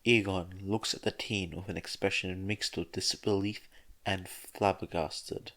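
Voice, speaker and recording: A man's voice: low voice, fairly even intonation, a little slow, fairly bright tone. A man reading scripted fiction as the narrator of an audio story.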